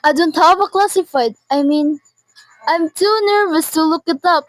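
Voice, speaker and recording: A very high, dry-recorded voice of a frantic, demanding wife played by a young woman student. She harangues indignantly and repeats herself in nervous agitation, in the manner of an amateur radio play. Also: moderate pace, no room sound, low-quality recording. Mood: desperate, pleading. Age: teenager. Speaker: student performer. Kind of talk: amateur radio drama acting.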